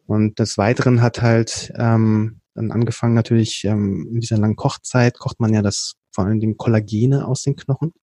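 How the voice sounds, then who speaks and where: Low, mellow man with a hesitant delivery. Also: steady tone, moderate pace, hardly any pauses, no room sound. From Berlin, Germany. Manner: explains at length with frequent hesitation fillers and restarts. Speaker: podcast guest, company co-founder. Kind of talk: casual conversational storytelling.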